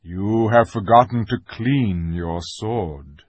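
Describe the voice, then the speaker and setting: Storybook lion king, played by a male narrator: noble, booming, husky, weighty and commanding. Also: takes it slowly, no echo. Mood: solemn. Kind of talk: dramatic audiobook character voice.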